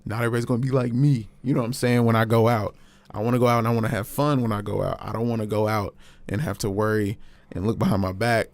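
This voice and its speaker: Gravelly, lowish-voiced man chatting. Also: steady tone, fast talker, no echo. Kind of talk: casual group discussion.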